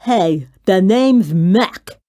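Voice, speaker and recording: A woman doing a slow, slobbery boy monster. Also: medium pitch, expressive, warm tone, no echo, studio quality, pitched below the performer's own voice. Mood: would-be menacing. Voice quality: slurping, slobbery. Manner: drawn-out, would-be menacing. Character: big scruffy boy monster, slobbery and whiny.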